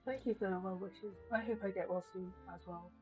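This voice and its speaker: A woman's voice: mid-range voice, fairly even intonation, brisk, dark tone, dull audio, faint music behind. A British woman chatting casually on a live stream, polite and musing as she talks.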